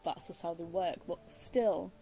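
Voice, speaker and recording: A woman's voice: lowish voice, a little slow, mellow, faint music behind, rough recording. A woman with a British accent giving casual, opinionated, wry commentary as she plays a game. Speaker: game streamer.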